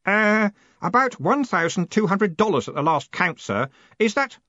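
A man's voice: high voice, very animated, moderate pace, bright, forceful tone, no echo, lo-fi sound, voice pushed up, full character voice. A man voicing an eager, naive young clerk in an audiobook, earnest, deferential and a bit pedantic. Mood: happy, eager. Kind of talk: audiobook character voice.

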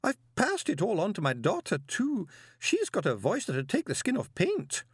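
Prim grey-haired woman for a storybook male reader, high-pitched, sobbing, melodramatic, with wildly swooping delivery. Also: wildly swooping pitch, normal speed, a bit bright, slightly rough, no room sound, clean studio sound, heavily acted. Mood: wailing despair. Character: wailing, prim grey-haired older woman, tearful and dramatic.

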